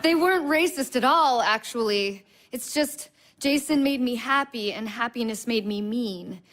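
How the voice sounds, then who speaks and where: A high-pitched, intense young woman in a naturalistic audio drama. She speaks haltingly and confessionally, wounded, anxious and hard on herself. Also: fairly bright tone. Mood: bitter, rueful.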